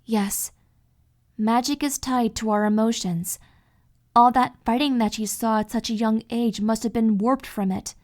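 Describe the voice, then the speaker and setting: A woman voicing a calm, caring cartoon pony mentor in an audio story, reassuring and explanatory. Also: fairly high pitch, unhurried, close to an everyday voice. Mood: thoughtful. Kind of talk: dramatic fan fiction audio reading.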